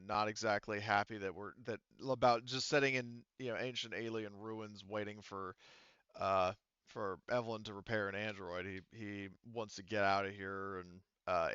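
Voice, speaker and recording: Low-voiced man, clear and halting game master. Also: fairly bright tone, dry recording, highs cut off. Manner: halting, thinking aloud. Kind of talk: running a tabletop roleplaying game, improvised narration.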